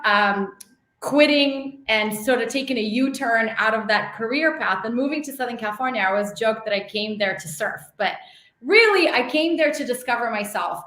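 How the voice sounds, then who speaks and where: A woman's voice: on the high side, a bit bright, echoey room. A woman in her thirties from Russia, a guest telling her life story in long flowing runs, with frequent laughs. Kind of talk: conversational storytelling.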